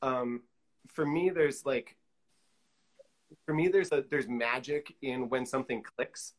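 Male, middle-aged, mid-pitched. Casual interview guest on a video call, hesitant and exploratory delivery. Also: slow. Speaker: guest, collage artist. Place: indoor room, on a video call.

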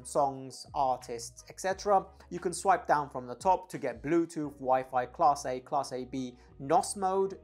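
Low-pitched, husky woman reviewing audio gear. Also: darkish tone. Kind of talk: casual product review talk.

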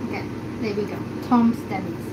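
A woman's voice: medium pitch, moderate pace, very noisy. A woman in her thirties hosting a casual live cooking stream from a small home kitchen, chatting and thinking aloud as she carves and serves. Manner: chatty, thinks aloud while carving and plating. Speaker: livestream host. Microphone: phone camera close up.

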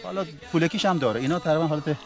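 Fisherman, loud music behind. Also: a little high. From southern Iran. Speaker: local fisherman and boat captain. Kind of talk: unscripted explanation to camera. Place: outdoors on a tidal seashore. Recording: music over the voice.

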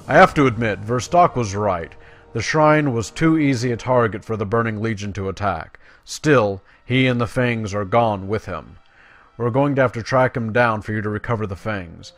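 A man giving casual video game commentary, reading quest text and adding wry, offhand asides. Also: medium pitch. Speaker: gaming video creator. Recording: game music behind the voice. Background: video game music.

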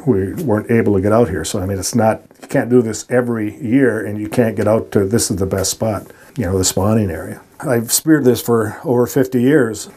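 An older man, explaining spear fishing in a dark ice shack, with many restarts and fillers. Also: lowish voice, slightly rough. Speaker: spear fisherman and decoy carver. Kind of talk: casual explaining on location.

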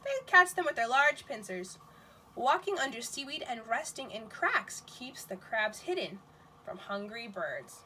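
A woman teaching nursery school, reading a picture book aloud to young children in an expressive, questioning manner, with exclamations. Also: on the high side, unhurried, slight background noise, low-quality recording.

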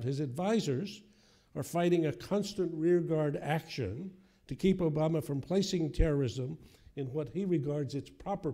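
A man's voice: fairly high pitch, dark tone. A man in his seventies, moderating a public forum as he reads aloud and poses questions. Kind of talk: reading a quotation and posing a question at a forum. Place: auditorium stage at a podium. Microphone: podium microphone.

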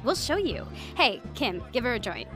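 A woman's voice: on the high side, sing-song, normal speed, over music. An actress playing a cocky teenage girl in an audio drama, teasing and breezy as she pressures a friend. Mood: pushy teasing.

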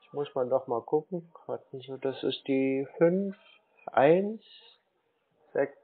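A man on a phone call, talking as a confused customer in a halting, dithering way and repeating himself. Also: mid-range voice, husky. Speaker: scam baiter playing a gullible customer. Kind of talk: phone call, slow put-on pensioner act. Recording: dull band-limited phone line.

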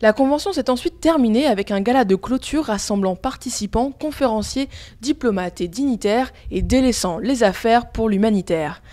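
A woman's voice: a little high, normal speed, dark tone. A woman news reporter reading a scripted narration for a news report.

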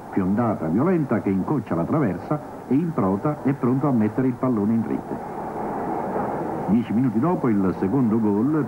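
A man reporting on football, reading a scripted highlights commentary over match footage. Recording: noisy, phone-like old television broadcast.

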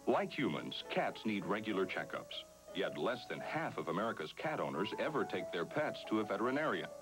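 A man's voice: on the low side, sing-song, brisk, over music, poor audio. A man reading an informative scripted public service announcement.

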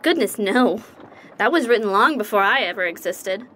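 A woman's voice: medium pitch, animated, normal speed, bright, forceful tone. An actress playing a devout, inquisitive young apprentice woman in a fantasy audio drama. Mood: modest.

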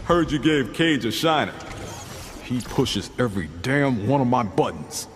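An actor playing a tough, irritated, wisecracking soldier in a video game. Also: male voice, mid-range voice, lively intonation, measured pace, lightly acted. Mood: annoyed. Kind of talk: video game character dialogue. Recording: noisy, reverberant hall.

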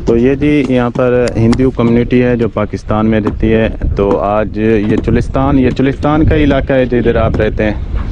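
A man's voice: on the low side, muffled recording, background noise. A man from Pakistan hosting a village tour, asking the locals short, friendly questions while filming outdoors on a handheld camera. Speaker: vlog host and interviewer. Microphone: camera held by the speaker.

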